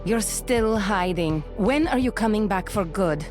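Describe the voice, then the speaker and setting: An actress playing an earnest, dutiful grown daughter and soldier in a video game, speaking with calm sincerity. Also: female voice, medium pitch, unhurried, music in the background, lightly acted. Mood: hurt, patient.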